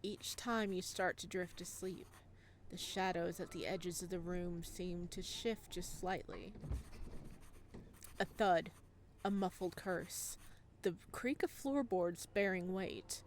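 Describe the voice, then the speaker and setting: A woman reading visual novel narration aloud as a gaming host, with an expressive delivery that can break into reactions. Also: fairly low pitch, takes it slowly, slight background noise.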